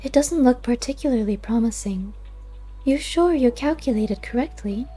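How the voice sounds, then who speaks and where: Woman voicing a wry, mellow, high-pitched she-cat. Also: animated, moderate pace, soft background music. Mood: curious, skeptical. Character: wry, curious female cat, a skeptical companion. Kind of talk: audiobook character voice.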